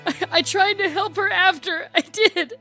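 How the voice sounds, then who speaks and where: Shrill, pleading childlike monster. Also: female voice, sharp tone, soft background music, heavily acted. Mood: terrified pleading. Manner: pleading, desperate, halting. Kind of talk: audio drama acting.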